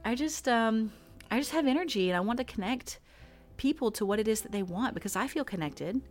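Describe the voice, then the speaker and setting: A woman hypnotist chatting casually as a podcast guest, with a calm, relaxing voice and frequent laughs. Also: fairly high pitch, brisk, soft background music.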